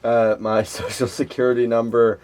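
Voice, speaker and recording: A man's voice: medium pitch, flat delivery, normal speed, darkish tone. A man co-hosting a podcast, riffing and joking in casual comedy banter. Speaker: podcast co-host.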